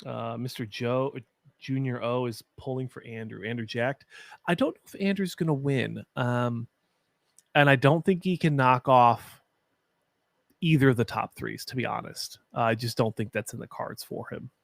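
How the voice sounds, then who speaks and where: Chatty deep-voiced man streaming. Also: normal speed, creaky, dry recording. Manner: chatty, opinionated, frequent fillers. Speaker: watch party streamer. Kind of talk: casual live-stream commentary.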